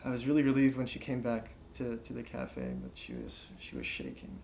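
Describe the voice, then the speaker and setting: A man's voice: medium pitch, brisk. An actor playing a once-cynical man in love, recounting his story hesitantly and reflectively, as in naturalistic film testimony. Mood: troubled. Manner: hesitant, reflective, self-correcting. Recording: clear steady background noise.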